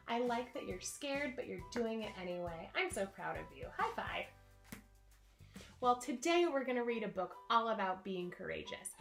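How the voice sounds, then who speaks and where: A woman's voice: a little high, a bit bright. A woman presenting a story time for children, speaking simply and encouragingly, with music behind her. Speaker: camp director reading picture books to children.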